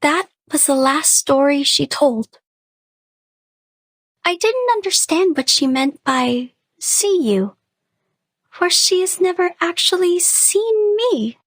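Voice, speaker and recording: Woman as a high-voiced, lonely woodland spirit, slow. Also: expressive, no echo, heavily acted. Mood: wistful. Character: lonely, gentle female spirit of the woods, wistful storyteller. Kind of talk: scripted dramatic narration.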